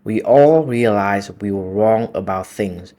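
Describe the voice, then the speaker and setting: A man with a Vietnamese accent, speaking English as a second language in a slightly low voice. He talks in a calm, measured and contemplative way, like a reflective solo monologue. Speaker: video essay host.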